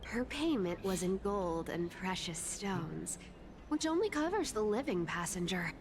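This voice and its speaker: A woman's voice: medium pitch, very animated, a little slow, background noise. A woman voicing a greedy, mischievous demigod trickster in a video game, teasing and theatrical, slipping between airy murmurs and exclamations. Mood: sly, playful. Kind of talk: animated video game voice acting. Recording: game audio with clear background music.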